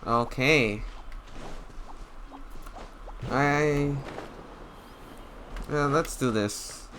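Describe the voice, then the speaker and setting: A man's voice: mid-range voice, drawn-out words, fairly bright tone, slight background noise. A man giving casual gameplay commentary, hesitant and thinking aloud. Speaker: game streamer.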